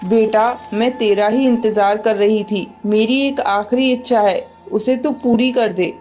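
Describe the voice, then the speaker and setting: A woman voicing a frail, loving old mother pigeon in a cartoon, gently telling the family what to do. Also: fairly high pitch, fairly even intonation, unhurried, faint music behind, close to an everyday voice. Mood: weak, pleading.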